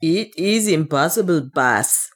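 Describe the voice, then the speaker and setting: A woman's voice: low-pitched, animated, slow, heavily acted. A woman voicing a loyal, brave dwarf manservant in an audiobook adventure, speaking in an earnest, deferential and practical way. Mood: grave, reproachful. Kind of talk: dramatised audiobook dialogue.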